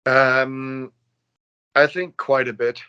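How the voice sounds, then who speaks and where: A man's voice: mid-range voice, level tone, slow, smooth, muffled recording. A man from Denmark in his late twenties answering interview questions as a professional ice hockey player, casual and laid-back. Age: late 20s. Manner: laid-back, not loud.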